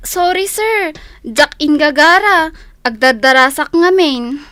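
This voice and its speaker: A woman's voice: high voice, slow, sharp tone, exaggerated voice. A woman acting a flustered, apologetic passerby in a radio drama.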